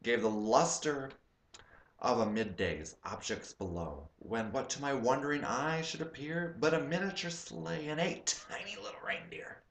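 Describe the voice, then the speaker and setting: A man's voice: medium pitch, animated, unhurried, creaky, a bit bright, reverberant, slight background noise. A man reciting a poem in a dramatic, playful, hammy way, dropping in comic asides. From United States.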